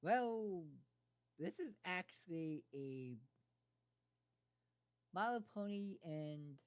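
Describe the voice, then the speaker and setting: Amateur narrator reading fanfic out loud, trips on words and repeats bits, muffled lo-fi mic, mid pitch. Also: expressive, clear, resonant tone. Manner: halting, stumbles over words and repeats phrases. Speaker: fan fiction reader. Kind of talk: amateur read-aloud narration of a fan fiction story. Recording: dull lo-fi microphone sound.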